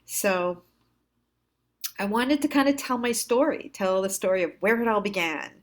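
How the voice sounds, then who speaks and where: Woman doing confessional storytime, medium pitch, candid, pokes fun at herself, lots of pauses. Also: animated. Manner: candid, self-deprecating, halting with pauses. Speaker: storyteller, host.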